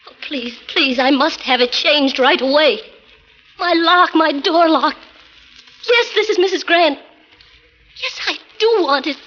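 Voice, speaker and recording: A woman's voice: high-pitched, unhurried, long pauses, fairly bright tone. An actress playing a frightened, frantic married woman in peril, in breathless 1940s radio melodrama style. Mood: frantic, pleading. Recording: muffled, noisy 1940s radio recording.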